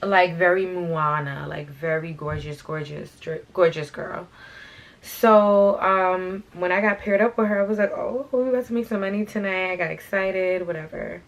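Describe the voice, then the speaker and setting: A young woman hosting her channel, telling a casual story time in a chatty, slangy way while doing her hair, her voice a little raspy from being sick. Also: on the low side, normal speed, lo-fi sound. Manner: chatty, slangy, repeats "like" and "you know what I'm saying". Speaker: fashion channel host.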